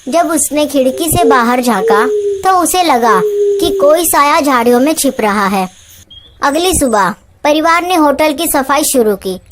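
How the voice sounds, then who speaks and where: Woman narrator for a scripted ghost story, notably high voice, slight noise behind.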